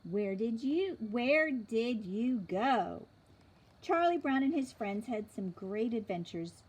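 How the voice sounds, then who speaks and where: A woman's voice: on the high side, lively intonation, takes it slowly, darkish tone, breathy edge. A woman reading a storybook aloud and addressing young listeners directly. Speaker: storybook reader. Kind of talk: read-aloud narration addressed to children.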